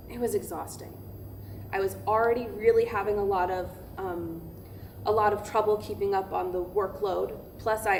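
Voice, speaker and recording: A woman's voice: mid-range voice, a little mellow, close to an everyday voice. A woman playing a weary, candid female pastor in a verbatim theatre monologue, speaking in a hesitant, conversational way. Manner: hesitant, filler-laden, candid. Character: female pastor recounting seminary harassment, weary, candid, incredulous. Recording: noisy room recording of staged reading.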